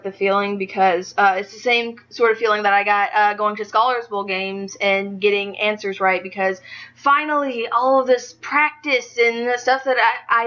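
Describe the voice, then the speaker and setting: A woman's voice: a little high, fairly bright tone. A young woman doing a casual amateur interview, speaking in a hesitant, giggly way. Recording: dull lo-fi audio.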